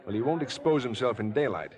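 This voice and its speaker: An actor playing a tense, determined young athlete in 1950s radio drama, clipped and decisive. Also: male voice, mid-range voice, lively intonation, fast, darkish tone, natural voice. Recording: vintage 1950s radio broadcast.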